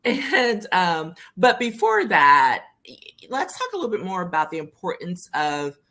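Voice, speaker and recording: Bright-voiced woman chatting as host. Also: on the low side, huge pitch swings, a little slow. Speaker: co-host and interviewer. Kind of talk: casual livestream chat.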